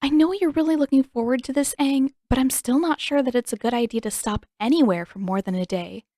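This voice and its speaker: Woman reading as a caring teen girl, firm and sensible, a little higher than her own tone, dry close sound. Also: a little fast, dark tone, no room sound. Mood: worried. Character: caring teenage girl, sensible older sister.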